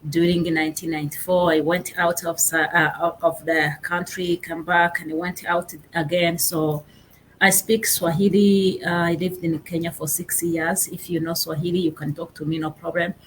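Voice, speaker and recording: A young woman with a Rwandan accent, a guest on a casual interview, pausing often and searching for her words. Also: lowish voice, little pitch movement, a little hiss or hum, rough recording. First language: Kinyarwanda. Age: young adult. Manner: halting, searching for words with many fillers.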